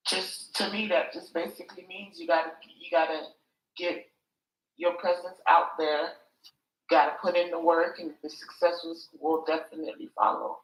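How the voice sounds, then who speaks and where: A woman's voice: on the low side, level tone, moderate pace. A woman who works as a nurse, chatting casually on a group call and repeating her words as she thinks. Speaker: participant. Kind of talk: casual chat. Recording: echoey room.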